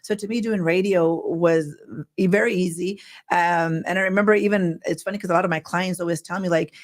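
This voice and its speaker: Co-host, woman, middle-aged, raspy and loud, rapid delivery, laughs easily. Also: fairly low pitch, steady tone. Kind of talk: casual live stream chat.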